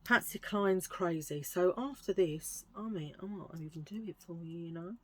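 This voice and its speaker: A husky, low-pitched woman talks through her charity-shop finds in a loose, rambling way, full of fillers and jokes at her own expense. She sounds middle-aged and casual, as if chatting to friends at home. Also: normal speed, darkish tone, no echo. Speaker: vlogger showing a thrift haul. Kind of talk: casual chatty haul video.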